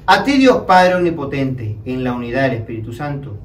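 A young man speaking as a priest, reciting liturgical prayers in a solemn, measured, declamatory way. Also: mid-range voice, big pitch swings. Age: young adult. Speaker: priest celebrating Mass. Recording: echoey room, distant mic, background noise.

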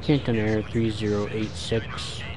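A man speaking terse, deliberate voice commands as an air traffic controller in a game. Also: on the low side, flat delivery, over music.

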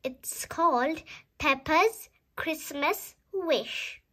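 High, bright woman reading to children. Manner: animated, deliberate delivery for children. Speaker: storybook read-aloud host. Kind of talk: expressive children's story read-aloud.

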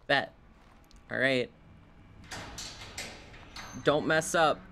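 A man streams a video game with a bright, forward voice. He talks casually and playfully, tossing asides to his viewers. Game noise is clearly audible behind him. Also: medium pitch, extremely slow. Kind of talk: casual live gameplay commentary. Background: video game sound.

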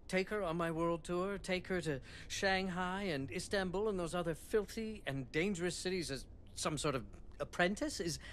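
An actor playing a proud, aloof magician in a video game, speaking in a haughty, measured way. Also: male voice, a bit bright. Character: proud, aloof wealthy magician, adult man. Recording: game audio with faint rumble.

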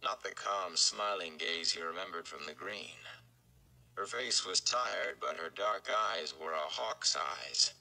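A man's voice: deep voice, lively intonation, unhurried, vocal fry, pushed and bright, smooth. A man, around his thirties, giving a dramatic reading of a fantasy novel. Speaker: audiobook-style reader. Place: indoor room. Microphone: phone at arm's length.

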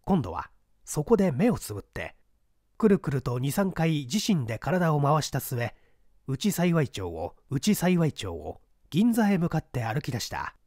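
A man narrating a mystery story aloud in a theatrical, expressive reading style. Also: fairly high pitch, a little slow, no echo, clean studio sound. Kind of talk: scripted audiobook narration.

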